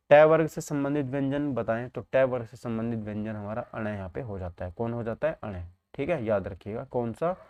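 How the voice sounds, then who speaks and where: A man's voice: mid-range voice, darkish tone, dry recording, muffled recording. Male exam coaching teacher. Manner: instructive, often asks himself questions then answers, checks understanding. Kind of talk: question-and-answer exam lesson.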